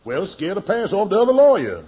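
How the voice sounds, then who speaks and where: A man's voice: high voice, huge pitch swings, fairly quick, breathy edge, full character voice. A man playing a shady, self-important cut-rate lawyer in a 1940s radio sitcom, pompously drawing out his explanations. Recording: muffled vintage radio recording.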